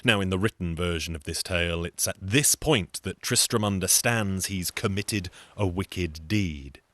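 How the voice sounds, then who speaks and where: Low-voiced man telling a folktale. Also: unhurried, fairly bright tone, dry recording. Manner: dry, wry asides. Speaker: storyteller. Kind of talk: theatrical folktale storytelling.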